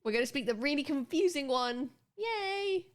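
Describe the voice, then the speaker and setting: A woman's voice: sits high, expressive, moderate pace. A British woman streaming, chatting casually and rambling with her viewers, swearing freely and often restarting her sentences. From Britain. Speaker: livestreamer.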